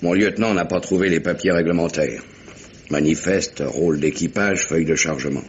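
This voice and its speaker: An actor playing a gruff, money-minded merchant sea captain in a 1950s-style French radio drama, plain-spoken and insistent. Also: male voice, sits low, normal speed. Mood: explanatory. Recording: dull, hissy old radio recording.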